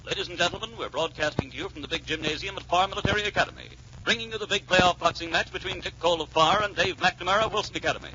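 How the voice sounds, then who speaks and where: An actor playing a formal, punchy sports announcer in a 1940s radio drama about a boxing match. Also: male voice, high voice, rapid-fire, bright, forceful tone. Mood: brisk, eager. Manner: formal, declamatory, punchy phrasing. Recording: muffled, noisy 1940s radio recording.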